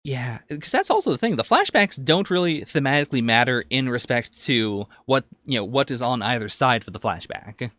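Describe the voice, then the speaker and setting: A man's voice: medium pitch, big pitch swings, normal speed, hardly any pauses, a bit bright, dry recording, studio quality. A man co-hosting a podcast, casually discussing anime and thinking aloud as he analyses it. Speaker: podcast co-host.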